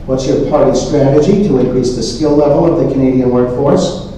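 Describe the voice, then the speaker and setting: A man's voice: mid-range voice, fairly quick, warm tone. A man moderating a forum, formally reading questions aloud. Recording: echoey room, distant mic, faint noise.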